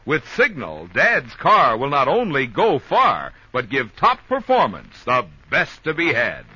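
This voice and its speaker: An American man reading a playful sponsor pitch as a 1950s radio announcer. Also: wildly swooping pitch, a little slow, bright, forceful tone. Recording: muffled, faintly noisy 1950s radio recording.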